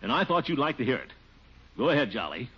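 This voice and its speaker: An actor playing a blustering, self-satisfied bumbling official in a 1940s radio comedy, stammering and chuckling nervously. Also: male voice, medium pitch, big pitch swings, quick pace, lightly acted. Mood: pleased. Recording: noisy dull 1940s radio recording.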